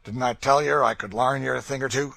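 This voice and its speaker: Man doing a brutal slave master, sneering, bullying, loud, sharp-toned. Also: mid-range voice, steady tone, brisk, creaky, no room sound, pitched above the performer's own voice. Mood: taunting. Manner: mocking, taunting, bullying.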